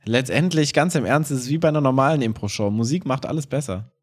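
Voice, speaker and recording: A man's voice: medium pitch, fast. A man co-hosts a casual podcast. He speaks informally, in everyday conversational language. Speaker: podcast co-host and improv performer.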